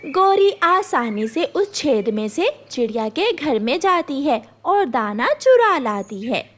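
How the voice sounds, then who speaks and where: A woman's voice: sits high, sing-song, slow. A woman storyteller reading scripted narration for a children's story.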